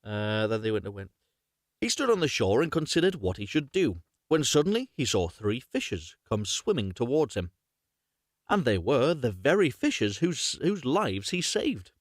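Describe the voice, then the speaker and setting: A man's voice: medium pitch, very animated, a little slow, no room sound, studio quality. A man hosting a live, unrehearsed story reading, narrating expressively, correcting himself and adding chatty asides. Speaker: host reading stories aloud on a livestream.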